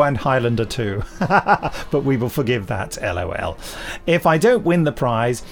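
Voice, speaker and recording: Male podcast co-host, mid-pitched, wry and playful, casual email reading over music.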